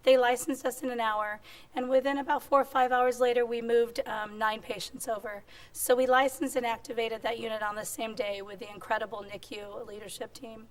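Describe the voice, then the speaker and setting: Woman presenter with a strained squeaky voice. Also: little pitch movement. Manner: upbeat, brisk, with frequent ums. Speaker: hospital executive presenter. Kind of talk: conference presentation. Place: conference room at a podium. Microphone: podium microphone.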